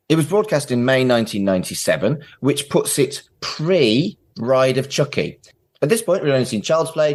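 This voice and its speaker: A man's voice: mid-range voice, husky. A man hosting a podcast in a casual, conversational style. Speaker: podcast host.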